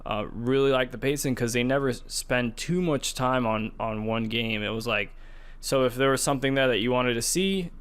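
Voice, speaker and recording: A man with a smooth, clear voice at an average pitch hosts a gaming podcast. He talks casually and conversationally, feeling out his sentences and often repeating a phrase before moving on. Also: normal speed, a bit bright. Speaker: podcast host. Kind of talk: casual gaming news discussion.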